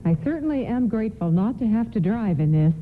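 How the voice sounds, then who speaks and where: Casting: actress as sweet, polite 1950s mom for radio drama, high voice with lively swoops, on a hissy lo-fi recording. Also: female voice, brisk, close to an everyday voice. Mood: hesitant, grateful. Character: grateful, polite, motherly 1950s wife and mother. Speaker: radio play actor. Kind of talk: nostalgic radio play acting.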